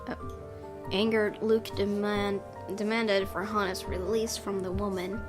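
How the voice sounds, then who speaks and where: A woman's voice: medium pitch, level tone, measured pace. A woman gaming host, reading a visual novel aloud expressively and adding her own casual commentary. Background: game music.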